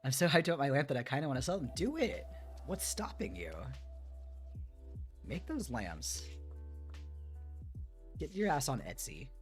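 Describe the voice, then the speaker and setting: A man's voice: medium pitch, very animated, moderate pace, pauses often, faint music behind. A man on a livestream, moving between reading a book aloud and chatting casually with listeners. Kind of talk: read-aloud storytelling mixed with casual chat on a livestream.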